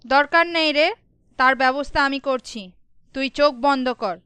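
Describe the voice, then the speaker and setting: A woman's voice: high-pitched, unhurried, noisy background. A woman voicing a bossy, threatening warrior goddess in a comic cartoon, curt and commanding. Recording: music under the voice.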